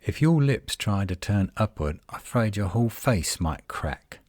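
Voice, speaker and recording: A man playing a cocky, sardonic werewolf guardian in a roleplay audio, teasing and flirtatious, with a husky, drawling delivery. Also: fairly low pitch, animated, normal speed, a little mellow, no room sound, clean studio sound. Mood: teasing, seductive. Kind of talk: roleplay audio acting, one side of a dialogue.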